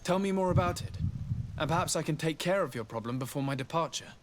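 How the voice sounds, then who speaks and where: A man playing a polite young nobleman and diplomat in a fantasy video game, speaking in a measured, formal way. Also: on the high side, expressive, natural voice. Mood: measured, inquiring.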